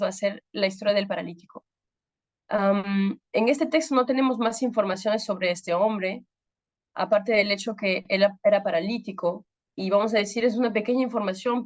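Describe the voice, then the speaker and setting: A woman in her thirties, a pastor from Peru, with a Peruvian Spanish accent touched by French, giving a women's ministry talk and pausing often with fillers to search for words. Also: mid-range voice. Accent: Peruvian Spanish with some French influence. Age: 30s.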